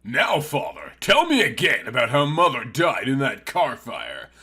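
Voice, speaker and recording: Man voicing a forceful, bitter Southern heiress. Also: mid-range voice, huge pitch swings, measured pace, slightly rough, exaggerated voice. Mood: mocking. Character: vengeful young Southern heiress, bitter and cold.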